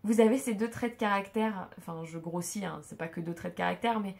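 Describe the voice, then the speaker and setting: Woman, head cold, nasal and stuffy; chatty tarot reader, halting, lots of "euh". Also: medium pitch, creaky, a little mellow. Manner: hesitant, many fillers and restarts. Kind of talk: casual spoken tarot reading to viewers.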